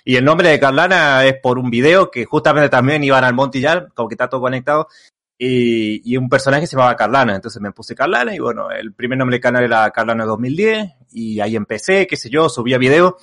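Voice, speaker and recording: A young man with an Argentine Spanish accent, chatting casually as an interview guest. He rambles, stacks fillers and restarts his sentences often, at an ordinary mid pitch for a man. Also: expressive, a little fast, fairly bright tone. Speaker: content creator guest.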